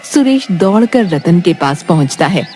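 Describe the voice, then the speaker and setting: A woman's voice: lowish voice, big pitch swings, unhurried, dark tone, faint music behind. A woman giving scripted narration of a folk-style comedy story as its narrator.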